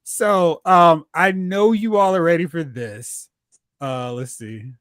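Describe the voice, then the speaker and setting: A man hosting a casual chat about TV and film, telling stories in an animated, exclamatory way. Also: fairly high pitch, slow, no room sound, clean studio sound. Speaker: podcast host.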